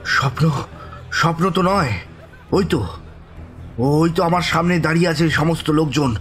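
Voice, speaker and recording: An actor playing a bewildered, frightened man telling a village ghost story in the first person, with a halting, suspenseful, dramatic delivery. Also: fairly high pitch, fairly bright tone, soft background music, lightly acted. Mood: confused, dreamlike. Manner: halting, breathy repetitions, suspenseful, pleading in dialogue.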